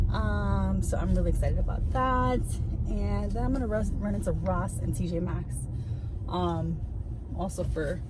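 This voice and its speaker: A young woman with a raspy voice chatting casually with many fillers for her vlog while out driving and shopping. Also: on the low side. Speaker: vlogger, stay-at-home mom. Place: in a car on the road, then in stores. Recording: loud car and road noise. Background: traffic and car noise.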